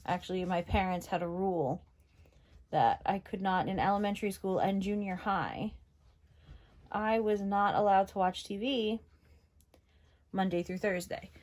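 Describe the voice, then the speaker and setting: A woman's voice: medium pitch, unhurried, pauses often, a little mellow. Young ny woman, northeastern accent, rambly chatty makeup talk, lots of likes and ums. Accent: general northeastern American. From New York, USA. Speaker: beauty vlogger. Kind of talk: casual makeup tutorial chat.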